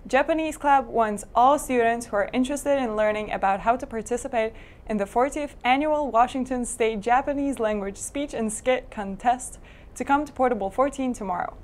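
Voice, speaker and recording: A woman's voice: a little high, moderate pace. A teenage girl from Poland, a student anchor reading school club news in a school TV studio. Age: teens.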